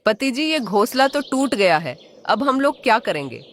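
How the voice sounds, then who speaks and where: Cartoon hawk wife played by a woman: hungry schemer, fairly high voice, very animated delivery. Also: moderate pace, a little hiss or hum. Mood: worried. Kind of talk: Hindi children's cartoon dialogue.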